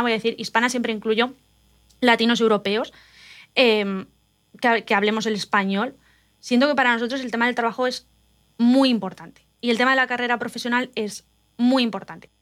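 A woman from Spain, telling personal stories in an animated, confiding casual chat. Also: a little high, a little fast.

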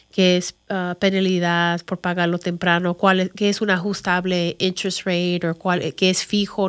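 American lady realtor, english is her first language, medium pitch, chatty explainer, slips english words in. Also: clear, resonant tone. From Chicago, United States. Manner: conversational, mixes in English words and phrases. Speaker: real estate agent. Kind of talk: informal explainer talk to viewers.

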